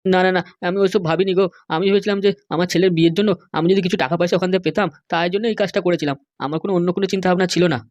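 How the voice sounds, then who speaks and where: An anxious middle-aged male politician from a comedy cartoon, acted out by a performer, rattles along very quickly in a dry, close sound. He wheedles and complains as he pleads. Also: medium pitch, fairly even intonation, a little mellow, no echo, natural voice. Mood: pleading.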